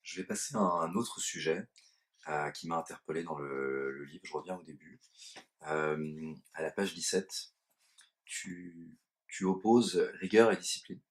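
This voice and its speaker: A man interviewing a guest, asking informal questions in a halting way with frequent restarts. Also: low-pitched.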